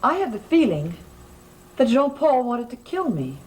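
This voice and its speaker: A woman's voice: fairly high pitch, sing-song, a little slow, low-quality recording. An actress playing an uneasy, concerned woman in an old gothic television soap, speaking earnestly and hesitantly. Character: uneasy, concerned female guest in a gothic mansion. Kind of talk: 1960s-70s gothic soap opera acting. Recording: old TV soundtrack with loud background music.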